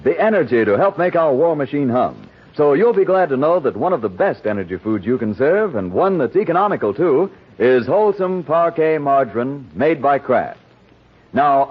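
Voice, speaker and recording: Muffled 1940s radio recording, male pitchman, husky, animated intonation, persuasive scripted advertising read. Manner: emphatic, persuasive. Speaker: radio announcer, sponsor pitchman.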